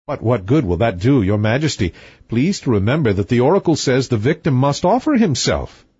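A man voicing a calm, blunt young prince who speaks coolly, on a dull lo-fi recording. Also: medium pitch, brisk, husky, dry recording.

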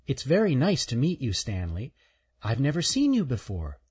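A man's voice: medium pitch, wildly swooping pitch, normal speed, a little mellow, husky, voice pushed up, exaggerated voice. A man doing a kind little girl squirrel. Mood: gentle, encouraging.

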